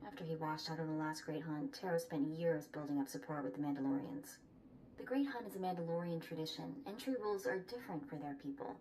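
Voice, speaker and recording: An actress playing a brisk, confident handler in a video game, briefing in a matter-of-fact way. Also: female voice, deep voice, a little mellow, natural voice. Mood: businesslike. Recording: slightly distant, faint background noise.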